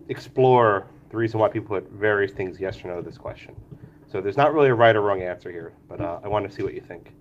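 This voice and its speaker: A male law professor runs a class through questions, calling on students and pushing them to explain. He sounds a bit congested, his voice lower than usual. Faint background noise hangs in the room. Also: highs cut off. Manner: questioning, Socratic, calls on students by name. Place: law school lecture room.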